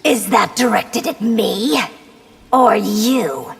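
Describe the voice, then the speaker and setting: A woman playing a scornful, taunting fighter in a fighting game, with a raspy voice. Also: mid-range voice, takes it slowly, over loud music.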